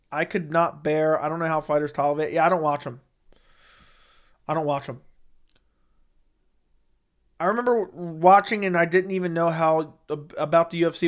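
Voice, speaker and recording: A man's voice: fairly high pitch, a bit bright. A man hosting a casual mixed martial arts livestream, answering viewer chat in a blunt, opinionated way and repeating his points for emphasis. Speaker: MMA commentary livestream host.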